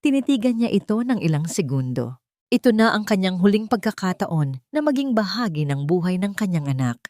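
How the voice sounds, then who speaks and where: A woman with a Filipino accent narrating a scripted romantic drama in a solemn, emotive, deliberate way. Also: medium pitch, big pitch swings, a little mellow, no echo, studio quality. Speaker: storyteller.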